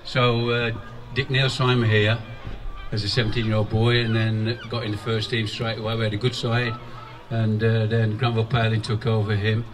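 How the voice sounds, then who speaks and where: A man's voice: on the low side, level tone, normal speed. A man, a former player reminiscing in a casual, rambling way during an outdoor interview at a football ground. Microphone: handheld mic held by interviewer. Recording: distant mic, faint outdoor noise.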